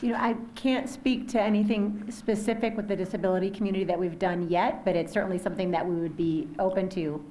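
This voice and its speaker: A woman speaking casually and warmly as a panelist at a community discussion, with some fillers. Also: mid-range voice, fairly even intonation, quick pace, few pauses. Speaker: panelist from the new office. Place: community meeting hall.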